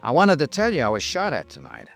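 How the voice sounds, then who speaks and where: An actor playing a sardonic, unflappable American insurance investigator in 1950s radio noir, talking and narrating in a clipped, dry, wisecracking way. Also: male voice, medium pitch, wildly swooping pitch, quick pace, gravelly. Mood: cool, pointed. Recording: vintage radio recording, music behind.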